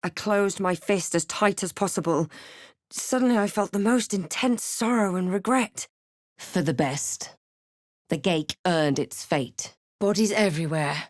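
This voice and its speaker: Wry, reflective female fantasy adventurer played by a woman, lowish register, close dry sound, measured game narration. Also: no room sound, natural voice. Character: female fantasy adventurer, wry, observant, telling her own journey. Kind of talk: video game character voice lines and first-person narration.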